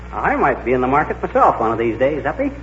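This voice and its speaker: A man's voice: mid-range voice, a bit bright. An actor playing a boastful, folksy middle-aged husband, cocky and ungrammatical with his wisecracks, in a 1940s radio comedy. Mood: cocky, needling. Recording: dull, echoey, hissy 1940s radio recording.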